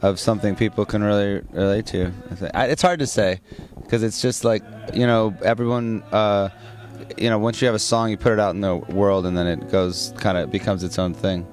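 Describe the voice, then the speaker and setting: Low-voiced man rambling in an interview. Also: fairly even intonation, brisk, soft background music. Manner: rambling, many restarts and fillers. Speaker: singer of a rock band. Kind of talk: casual interview answers.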